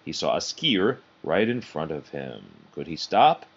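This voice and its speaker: A man reading a children's picture book aloud in an expressive, animated storytelling way. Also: low voice, measured pace, breathy edge. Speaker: storybook reader. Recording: dull, muffled lo-fi recording.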